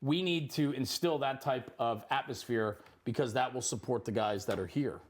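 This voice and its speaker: A man's voice: mid-range voice, normal speed. A middle-aged man hosting a casual on-location interview in an effusive, encouraging manner. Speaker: interviewer, host. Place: firehouse kitchen.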